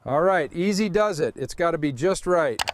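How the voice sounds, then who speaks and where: A man's voice: a little high, animated, moderate pace, soft background music. A man hosting a restoration video, giving hands-on workshop commentary.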